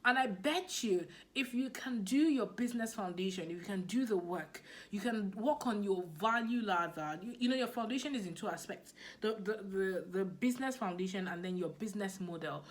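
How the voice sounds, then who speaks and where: A woman's voice: medium pitch, expressive, normal speed, few pauses. A woman with a Nigerian accent giving a motivational business talk to camera, emphatic and repeating her key points. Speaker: business coach.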